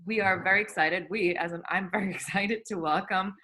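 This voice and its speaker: A woman's voice: medium pitch, fairly even intonation, brisk, bright, forceful tone, poor audio. A woman hosting a casual interview in a playful, upbeat, chatty manner. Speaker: host.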